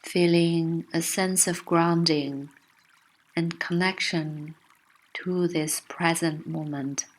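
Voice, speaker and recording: A woman narrating a guided meditation with gentle, soothing, unhurried instruction. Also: on the low side, fairly even intonation, poor audio.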